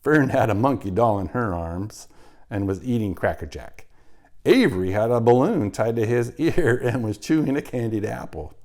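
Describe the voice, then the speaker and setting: A man, a teacher, reading a children's chapter book aloud with expressive storytelling. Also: mid-range voice, moderate pace.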